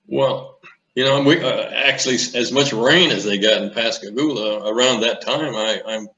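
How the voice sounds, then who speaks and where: A man in his eighties reminiscing in an interview, speaking in a measured, deliberate way. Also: mid-range voice, pushed and bright, highs cut off. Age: 80s. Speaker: interview guest, retired naval commanding officer. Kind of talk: conversational reminiscence. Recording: echoey room sound.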